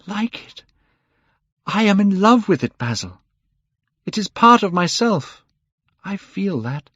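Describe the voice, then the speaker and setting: A man's voice: high-pitched, sing-song, slow, a bit bright, no room sound, voice pushed up, heavily acted. A man voicing an anguished, vain young man who pleads in despair. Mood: frantic. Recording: slightly muffled dry recording.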